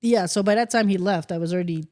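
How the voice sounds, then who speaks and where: A middle-aged woman from the Philippines, a guest chatting casually on a podcast, who tells animated stories, acts out quotes and swears freely. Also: mid-range voice, fast. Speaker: guest, host's mother.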